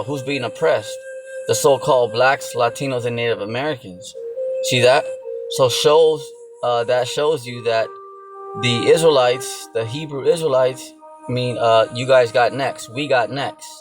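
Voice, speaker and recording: A man giving an informal sermon, repeating himself and often checking in with the listener. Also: medium pitch. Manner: repetitive, filler-heavy, keeps checking in with "okay" and "you know". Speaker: religious teacher. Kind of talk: informal preaching. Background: music.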